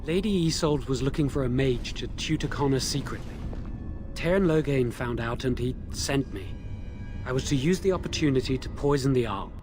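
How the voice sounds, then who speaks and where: A man's voice: medium pitch, moderate pace, music in the background, close to an everyday voice. An actor playing a nervous, remorseful young male mage held in a dungeon, in a video game. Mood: confessing. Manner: anxious, remorseful, pleading.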